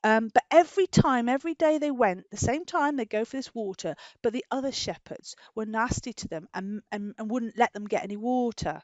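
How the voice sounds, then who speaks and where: A British woman tells a children's story in a fairly high voice. She is animated and full of questions, drawing out important words. The sound is dry and a little dull. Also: brisk, few pauses, dry recording. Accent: British English. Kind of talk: storytelling for young children, chatting with a puppet.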